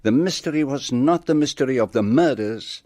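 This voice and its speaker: An actor playing a proud, shrewd detective with a Belgian French accent in a radio play, speaking formally and precisely. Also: male voice, medium pitch, normal speed, a little mellow, natural voice. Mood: musing, self-satisfied.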